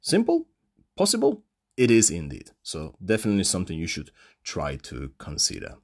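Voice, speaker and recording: Lively Polish man hosting a podcast. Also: low voice, moderate pace, husky. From Poland. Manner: animated, rhetorical questions, frequent fillers. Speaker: podcast host and English teacher. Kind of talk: solo podcast talk. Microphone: phone.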